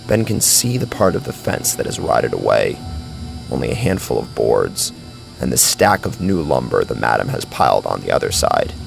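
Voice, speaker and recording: A man's voice: very deep voice, sing-song, moderate pace, gravelly. A man narrating a scripted horror story as a brooding, dramatic storyteller. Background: faint music.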